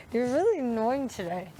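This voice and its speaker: A young woman with a raspy voice, chatting casually in a teasing way. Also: a little high, mellow, a little hiss or hum, rough recording. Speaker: reality show contestant. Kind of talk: casual late-night banter.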